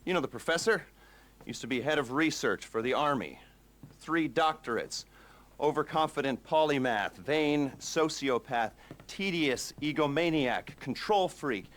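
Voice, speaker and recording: A man's voice: a little high, a bit bright. An actor playing a nerdy, rambling criminal planner who briefs his crew in a video game, digressing with dry sarcasm and stammered asides.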